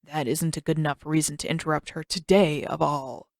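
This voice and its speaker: A woman's voice: deep voice, steady tone, a little fast, no room sound, clean studio sound, pitched below the performer's own voice. A woman voicing a stern, disapproving male palace guard in a fantasy audiobook, curt in manner.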